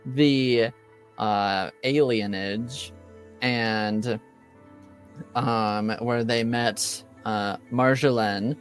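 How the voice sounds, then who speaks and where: A man hosting a tabletop roleplaying game as game master, recapping casually and haltingly as he searches for words. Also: mid-range voice, fairly even intonation, faint music behind.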